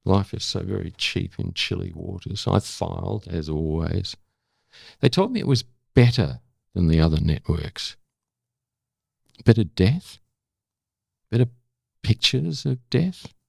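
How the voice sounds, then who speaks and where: An older Australian man with a gravelly voice, speaking as an interview guest and former correspondent. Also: deep voice, expressive, unhurried, long pauses, no echo, studio quality. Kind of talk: dramatic spoken-word recital of own prose, then reflective conversation.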